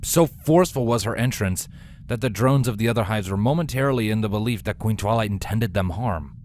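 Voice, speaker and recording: A man's voice: on the low side, a little fast, darkish tone, a little hiss or hum. A man reading scripted fiction aloud as a storyteller, in the style of an audiobook.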